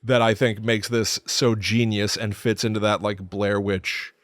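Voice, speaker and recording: A man co-hosting a casual film discussion podcast, talking in a jokey, halting way and repeating words for comic emphasis. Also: lowish voice, flat delivery, no echo. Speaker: podcast co-host.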